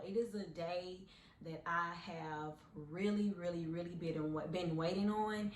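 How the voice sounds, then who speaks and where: A woman in her twenties with a hoarse, deep, raspy voice, chatting earnestly and casually to her viewers as a vlogger. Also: echoey room, slight background noise. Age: 20s. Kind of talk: casual personal storytelling to camera.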